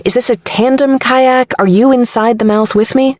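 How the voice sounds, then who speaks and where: A woman voicing a wry, quick-witted female survival podcast host in an audiobook novel, with some nerves. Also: a little high, steady tone, darkish tone, no echo, pitched above the performer's own voice, close to an everyday voice.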